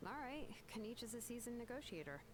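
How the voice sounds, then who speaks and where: Earnest young game heroine, noisy backdrop. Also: female voice, fairly high pitch, very animated, fast. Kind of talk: scripted video game voice acting.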